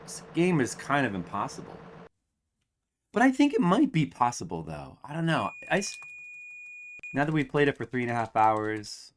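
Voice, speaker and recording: A man's voice: mid-range voice, sing-song, normal speed, pauses often, a little hiss or hum. A man in his forties, a game streamer, chatting casually and ramblingly to his viewers at home, with self-mocking humor. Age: 40s.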